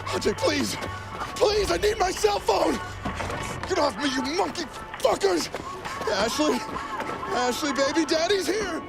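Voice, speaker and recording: A man's voice: very high voice, animated, a little slow, vocal fry, heavily acted. An actor playing a desperate captive villain in a video game, a frantic father who curses and pleads. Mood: frantic pleading. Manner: shouting, pleading, cursing. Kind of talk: video game cutscene acting. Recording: loud music behind.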